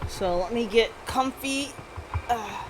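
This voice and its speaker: A woman's voice: on the high side, slow, slight background noise, poor audio. A woman livestreaming a game in a casual, chatty way, thinking aloud and reading on-screen text. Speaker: live game streamer. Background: music.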